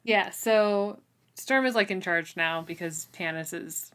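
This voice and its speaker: A woman's voice: lowish voice, measured pace, a bit bright, smooth. A woman co-hosting a podcast, talking over a book informally with loose, trailing phrasing. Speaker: podcast co-host. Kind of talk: casual book discussion chat.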